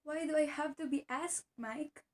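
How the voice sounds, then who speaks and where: A high female voice from a woman acting plays a devoted teacher and wife who is hurting. The delivery is earnest and pleading, in the manner of an amateur one-act drama. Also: unhurried. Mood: earnest, insistent.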